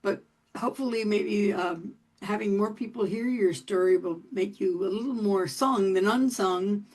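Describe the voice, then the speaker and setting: A woman's voice: mid-range voice, normal speed, darkish tone. A woman in her eighties hosting an interview, chatty and friendly, laughing often. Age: 80s. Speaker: podcast host. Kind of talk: casual interview talk.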